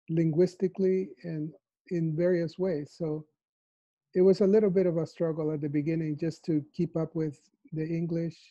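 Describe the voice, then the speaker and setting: A man in his fifties from El Salvador, answering interview questions thoughtfully from his living room on a video call, with a lapel mic. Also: a little high. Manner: soft-spoken, thoughtful, with frequent fillers. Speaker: mathematician interviewee. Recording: dull lo-fi video call audio.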